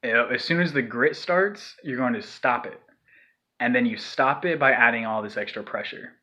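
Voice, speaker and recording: A man's voice: mid-range voice, moderate pace, bright, forceful tone. A man explaining a vocal technique in a loose, rambling way, with lots of fillers and sighed demonstrations. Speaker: vocal tutorial maker. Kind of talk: casual spoken tutorial.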